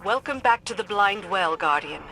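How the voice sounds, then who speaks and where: A woman's voice: medium pitch, expressive, moderate pace, bright, forceful tone. A woman playing a stern, dutiful military lieutenant in a video game, giving earnest, commanding briefings. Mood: commanding, urgent. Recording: voice over game music.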